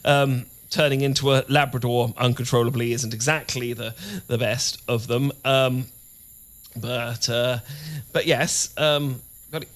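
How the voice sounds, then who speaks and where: A man's voice: medium pitch, fairly even intonation, fairly bright tone, lightly acted. A man acting a flustered, stammering British boyfriend. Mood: sheepish, nervous. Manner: flustered, heavy stammering and halting restarts. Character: adorkable, nervous, eager boyfriend confessing he is a were-Labrador. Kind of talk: romantic audio roleplay acting, addressed to listener.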